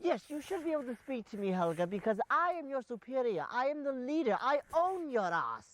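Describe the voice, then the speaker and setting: A woman playing a haughty, demanding British gentleman in improvised comedy, pompous and carefully enunciated. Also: high-pitched, big pitch swings, a little fast, no room sound, pitched above the performer's own voice, poor audio. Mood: outraged, bossy. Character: haughty, demanding, helpless British gentleman employer, easily outraged. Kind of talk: improvised comedy role-play.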